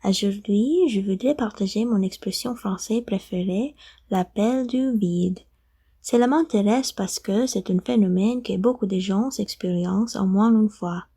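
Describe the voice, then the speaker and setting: A woman from the Philippines reading a short message in an earnest, measured way. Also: mid-range voice, dark tone, breathy edge. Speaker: learner sharing an expression. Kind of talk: read-aloud video message.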